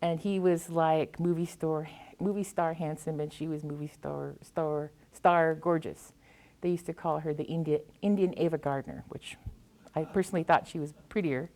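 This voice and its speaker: A woman's voice: sits low, steady tone, normal speed, a little mellow. An American woman, a poet, speaking reflectively as she tells stories and reads her work to an audience. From US Pacific Northwest. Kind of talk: anecdotal talk and poetry reading to an audience.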